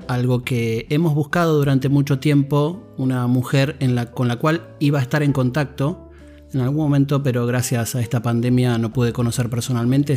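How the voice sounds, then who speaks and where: A man's voice: medium pitch, a little mellow. A man with an Argentine accent hosting a podcast, talking in a friendly, rambling way with many fillers and restarts. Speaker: podcast host. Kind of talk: casual interview chat.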